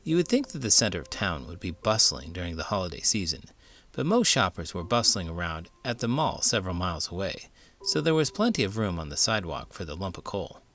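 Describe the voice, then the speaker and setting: A man reading a children's book aloud as an expressive storyteller, in the manner of an elementary school teacher. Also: low-pitched, brisk.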